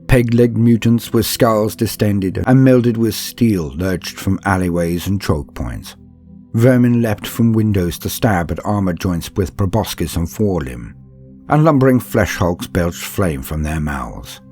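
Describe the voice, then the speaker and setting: A man reading scripted narration like an audiobook, in a calm, steady, measured manner. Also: deep voice. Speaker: narrator reading a campaign book. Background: faint background music.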